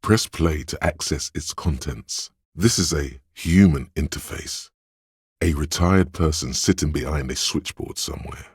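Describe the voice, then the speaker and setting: A man voicing a brooding, dryly wry inner voice that narrates a detective's thoughts in a video game, gravelly and husky, with measured, deliberate delivery. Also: very deep voice, dry recording, studio quality, exaggerated voice. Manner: measured, deliberate, literary second-person narration.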